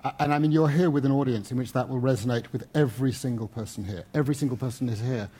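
Husky, mellow-voiced male interviewer. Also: medium pitch, quick pace. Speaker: interviewer, lawyer. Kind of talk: festival stage conversation.

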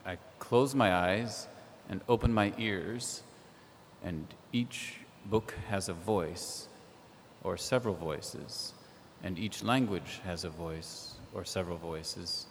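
Deep voiced guy, slow and thoughtful, lots of ums, hall echo, bit of hiss. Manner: thoughtful, hesitant, many ums. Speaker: literary translator. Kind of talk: panel discussion. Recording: echoey hall.

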